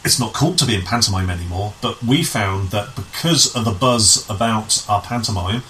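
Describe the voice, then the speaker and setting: A man's voice: fairly low pitch, moderate pace, bright, forceful tone, slight background noise, poor audio. A man chatting as an interview guest, repeating and rephrasing as he goes. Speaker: guest, amateur pantomime writer and director. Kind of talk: conversational radio interview.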